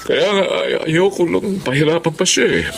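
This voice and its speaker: Casting: ailing, devoted older father, halting and exclaiming, very animated pitch, music underneath. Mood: heavy-hearted. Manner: halting, exclaiming, repeats words. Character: ailing, secretive, devoted old Filipino father. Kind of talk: Filipino drama acting. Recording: music behind the dialogue.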